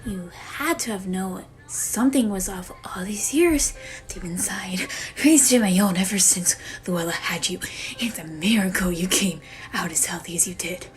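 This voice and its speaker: A woman playing a grave older guardian revealing a family secret, in a hushed, breathy dramatic monologue. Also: mid-range voice, expressive, a little slow, long pauses, faint music behind, pitched below the performer's own voice, close to an everyday voice. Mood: grave, secretive.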